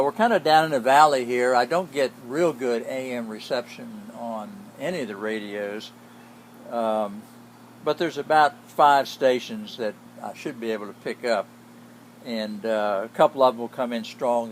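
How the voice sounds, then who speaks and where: A man giving a casual, unscripted demonstration as a hobbyist, speaking in a plain, halting way. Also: mid-range voice, poor audio. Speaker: hobbyist radio restorer. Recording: clear background noise with hum.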